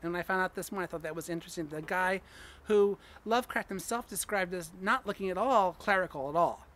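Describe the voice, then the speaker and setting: A man's voice: high-pitched, fairly quick, fairly bright tone. A man giving an informal personal talk to an audience, hesitant and searching for words but enthusiastic. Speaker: story reader speaking to an audience.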